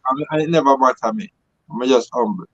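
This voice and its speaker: A man's voice: mid-range voice, fairly quick, no room sound, dull audio. A man with a Jamaican accent reasoning and telling stories casually, emphatic and repeating phrases to drive them home.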